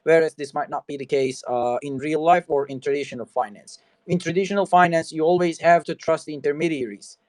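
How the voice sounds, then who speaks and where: A man with a fairly high voice hosts a casual talk show conversation. His sound is dry and close. He keeps dropping you know into his sentences. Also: fairly quick, few pauses, no echo. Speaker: host.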